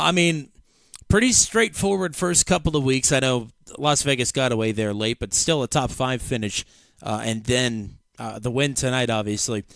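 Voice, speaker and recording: A man's voice: medium pitch, fairly bright tone, no room sound. A man hosting racing commentary, upbeat, while sick and losing his voice. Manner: upbeat, rattles off schedule. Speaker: race commentator host. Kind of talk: sim racing broadcast commentary.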